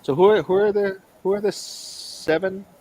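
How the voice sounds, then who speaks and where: A man's voice: high-pitched, fairly even intonation, normal speed, a little mellow, a little hiss or hum, rough recording. A man chatting casually in a tentative way, often checking with questions. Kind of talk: casual chat.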